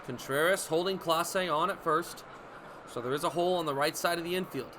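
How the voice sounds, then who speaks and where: A young American man calling baseball play-by-play for radio, with energetic, vivid running commentary. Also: a little high, fairly quick. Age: young adult. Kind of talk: live radio sports commentary. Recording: live broadcast with ballpark background noise. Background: stadium ambience.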